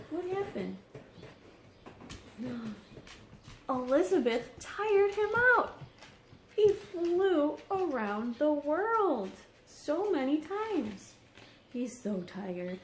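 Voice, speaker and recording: A woman reading a children's story aloud as a teacher, expressive, putting questions to young listeners. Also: sits high, a little hiss or hum. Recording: roomy echo on the voice.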